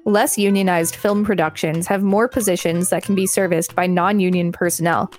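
A woman's voice: on the low side, fairly even intonation, moderate pace, darkish tone, faint music behind. A woman reading an encyclopedia article aloud as a podcast co-host. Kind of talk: read-aloud article narration.

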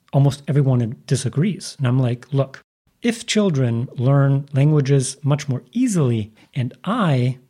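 A man's voice: mid-range voice, mellow, husky. A man hosting a podcast, making an earnest argument before asking his guest a question. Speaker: podcast host. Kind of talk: podcast interview.

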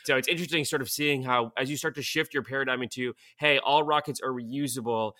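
A young man from Bulgaria, a startup founder explaining things in a casual interview, quick and full of fillers like "like" and "you know". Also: medium pitch, fairly even intonation, sharp tone.

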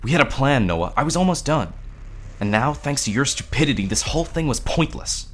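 A man voicing a defensive, sharp-tongued young creature tamer in a fantasy story, strained and snappish. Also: medium pitch, animated, fairly quick, slight background noise, pitched above the performer's own voice, close to an everyday voice. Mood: bitter anger.

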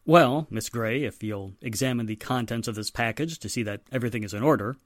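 A very low, dry-sounding police inspector, acted by a woman in a forties radio play. He is earnest and methodical, setting out matters with steady authority. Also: a little fast, no echo. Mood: businesslike. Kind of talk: 1940s radio drama acting.

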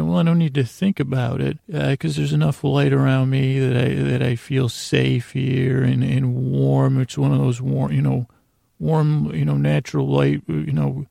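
A man hosting a sleep podcast in a rambling bedtime monologue, soothing and lulling, halting and repeating his words, with a gravelly voice. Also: medium pitch, little pitch movement, dark tone, no echo, studio quality. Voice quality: gravelly, creaky.

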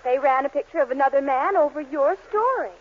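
An actress playing a sweet, patient young girlfriend in a 1940s radio sitcom, gentle and a little wistful. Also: high voice, animated, brisk, background noise. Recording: dull 1940s radio broadcast recording.

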